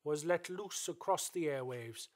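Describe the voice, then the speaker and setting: Male narrator, high pitch, very unhurried, dramatic scripted radio play storytelling. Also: darkish tone.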